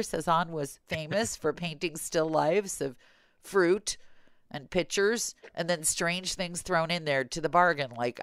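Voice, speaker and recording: A woman's voice: sits low, no room sound. A middle-aged woman podcast host telling humorous stories in casual chat, with a wry manner given to playful exaggeration.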